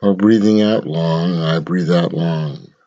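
A man leading a guided meditation in a measured, instructional way, speaking in short phrases with long pauses. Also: deep voice, dark tone, highs cut off.

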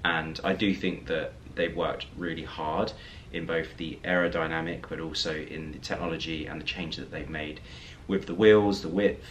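Young man, vlog talk, very deep, slightly raspy, relaxed. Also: normal speed, a little hiss or hum. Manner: relaxed, talks with hands. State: slightly raspy after a late night. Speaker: vlogger. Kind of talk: casual direct-to-camera vlog talk. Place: hotel room indoors.

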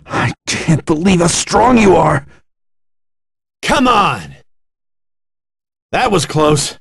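An actor playing an earnest young martial-arts hero in an anime fighting game, with an intense, emphatic delivery. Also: male voice, a little high, big pitch swings, extremely slow, gravelly, a bit bright, slightly rough, no echo, full character voice.

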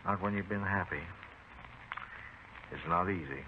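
An actor playing a talkative, eccentric older widowed neighbor in a 1950s radio drama, rambling and hesitant in a folksy way. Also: male voice, deep voice. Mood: wistful. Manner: rambling, unhurried. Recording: tinny, muffled 1950s radio recording, faint noise.

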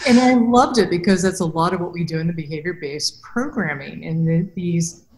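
A middle-aged woman hosting a podcast interview on a video call, talking casually about robotics and acting out quotes lively. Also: normal speed, slight background noise. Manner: animated, acts out quotes with big pitch jumps. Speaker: podcast host and robotics researcher. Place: small room with a plain wall. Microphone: headset mic on a video call. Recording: echoey room.

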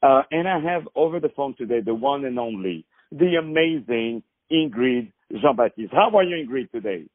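A man's voice: mid-range voice, expressive, normal speed, few pauses, dry recording. A man hosting a phone interview, effusive and lavish with praise. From France. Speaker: host. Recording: tinny phone line, dry.

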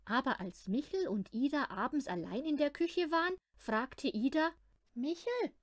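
Female storyteller for children's audiobook, very animated, measured pace, dry close sound. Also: high-pitched, no room sound. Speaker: audiobook reader of children's stories. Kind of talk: expressive storytelling narration for children.

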